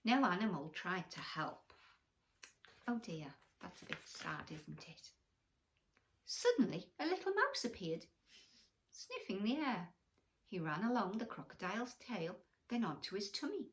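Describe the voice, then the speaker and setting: A woman's voice: mid-range voice, sing-song, measured pace, pauses often, slight background noise. A woman storyteller reading a bedtime story expressively to children, with questions and asides to the young listeners.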